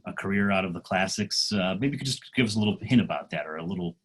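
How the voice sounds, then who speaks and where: A man moderating an academic talk, speaking haltingly with frequent pauses and fillers. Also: sits low, expressive, fairly bright tone, a little hiss or hum.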